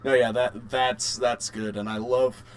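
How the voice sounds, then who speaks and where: A man co-hosting a podcast in casual chat, halting and often restarting his phrases. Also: medium pitch, flat delivery. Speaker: podcast co-host. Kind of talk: casual chat about video game movies.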